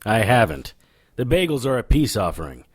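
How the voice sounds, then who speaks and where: An actor playing a cocky, sardonic occult investigator in an audio drama, with a wry, taunting delivery. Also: male voice, mid-range voice, sing-song, moderate pace, slightly rough, no room sound, clean studio sound. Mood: playful, showy. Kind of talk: audio drama acting with noir-style narration.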